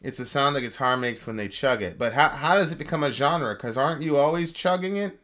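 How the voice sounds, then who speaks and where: A man from Jamaica, co-hosting a casual live chat, animated and laughing often as he reads comments. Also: medium pitch, brisk. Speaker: co-host.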